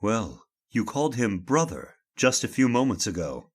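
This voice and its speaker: A man's voice: fairly low pitch, sing-song, normal speed, breathy edge. Determined, inquisitive investigator, male actor: authoritative, formal, very varied intonation, radio thriller. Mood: stern. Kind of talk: old-time radio drama acting.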